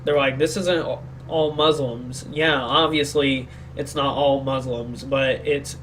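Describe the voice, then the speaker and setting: A man's voice: mid-range voice, steady tone, measured pace, a bit bright, slight background noise. A sick man co-hosting a podcast, rambling through a casual opinionated discussion and often searching for words. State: sick, speaking more quietly than usual. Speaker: podcast co-host.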